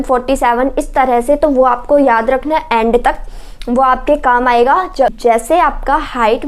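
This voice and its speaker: High-pitched woman explaining. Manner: instructive, reassuring, repeats points with check-in tags. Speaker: exam coaching presenter. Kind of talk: informational explainer for exam candidates.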